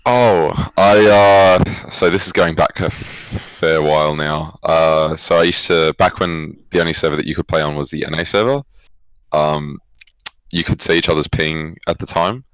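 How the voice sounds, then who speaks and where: A man's voice: a bass voice, steady tone, moderate pace, a bit bright, smooth, dry recording, poor audio. A young man with an Australian accent, answering interview questions casually and reflectively, rephrasing as he goes. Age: young adult. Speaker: esports coach, former pro player, guest.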